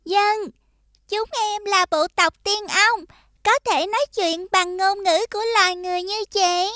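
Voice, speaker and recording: A woman playing a sweet, earnest young heroine in an animated fairy tale. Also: very high voice, unhurried, no echo, clean studio sound, heavily acted. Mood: polite.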